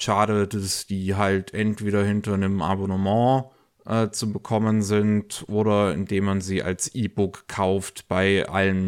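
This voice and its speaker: A man with a cold hosts a relaxed news podcast. His voice sits a bit low, and he talks casually with lots of fillers and restarts. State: has a cold. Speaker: podcast host.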